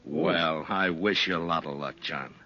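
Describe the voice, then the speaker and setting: A man's voice: very deep voice, unhurried, sharp tone, full character voice. An actor playing an easygoing, modest frontier marshal in a 1950s radio western, conversational and a little hesitant in his plain-spoken way. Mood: friendly. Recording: muffled 1950s radio recording with music.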